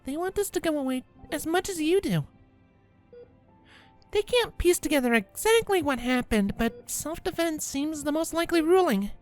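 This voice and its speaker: A woman plays a gentle young cartoon mare in an audio drama, high-voiced and very varied in pitch. She is soft-spoken, sober and reassuring, with music playing faintly underneath. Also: animated, moderate pace. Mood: sober, hushed. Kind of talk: fan fiction audio drama.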